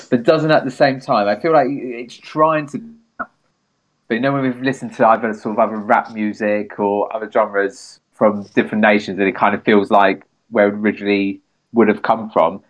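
A man's voice: mid-range voice, lo-fi sound. A British man co-hosting a music reaction, thinking aloud and searching for words as he gives his view. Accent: British English. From Britain. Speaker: co-host. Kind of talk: casual reaction chat.

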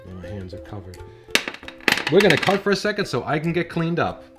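A man's voice: fairly high pitch, big pitch swings, fairly quick. Man, middle-aged, casual cooking host, chatty and wisecracking, guitar music underneath. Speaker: home cooking show host. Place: home kitchen indoors.

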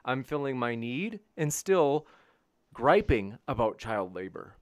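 A man moderating an online debate, briskly reading audience questions at a studio microphone. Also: mid-range voice, sing-song, no echo. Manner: brisk, businesslike.

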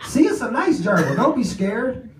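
An eager, childlike young woman as a man reads her out in a comic story. The phrasing is simple and insistent, pitched up a little. It is heard in an echoey room with an audience around. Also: sing-song, moderate pace, crowd noise. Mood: coaxing.

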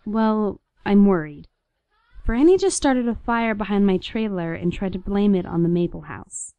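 A woman's voice: medium pitch, expressive, normal speed, warm tone, no echo, natural voice. An actress playing a concerned, bewildered young mother in a radio play. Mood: worried. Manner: incredulous, concerned. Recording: slightly dull, lo-fi sound.

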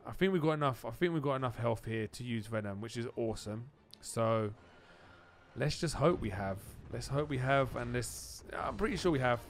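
A man giving excited video game commentary, repeating phrases and exclaiming in informal slang. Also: mid-range voice, normal speed. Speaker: gaming channel host. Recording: music behind the voice.

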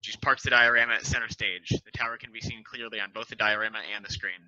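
Man reading stage directions, bright voice. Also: fairly low pitch, quick pace, dry recording. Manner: plain, steady reading. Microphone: video call headset. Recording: dull lo-fi call audio.

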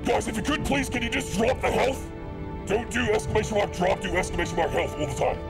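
A man streaming a game live, commenting excitedly and swearing and yelping whenever danger hits. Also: high-pitched, fast. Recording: loud game music behind. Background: game music.

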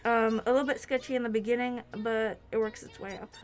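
Higher-voiced young woman chatting casually. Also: steady tone, fairly quick, soft background music. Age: young adult. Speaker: co-host of a reaction video. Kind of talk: casual chat. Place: small furnished room at home, on a couch. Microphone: mic out of frame, speaking through a cloth mask.

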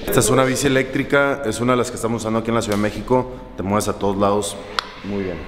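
A man's voice: fairly low pitch, fairly even intonation, very fast, music in the background. Young Mexican man vlogging on the street. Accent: Mexican Spanish. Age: young adult. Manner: chatty, talking while walking with a handheld camera. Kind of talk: casual vlog to camera. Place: city streets and shops outdoors. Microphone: handheld camera at arm's length. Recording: outdoor street noise.

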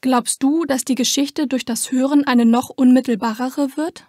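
A woman's voice: sits high, steady tone, normal speed, dark tone, no echo, clean studio sound. A woman interviewer putting prepared questions to a guest.

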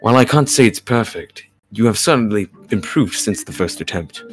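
A man voicing a polite, exacting catboy butler in a visual novel, speaking in a formal, measured, courteous way. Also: fairly low pitch, expressive, breathy edge. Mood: encouraging. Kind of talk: amateur visual novel dub.

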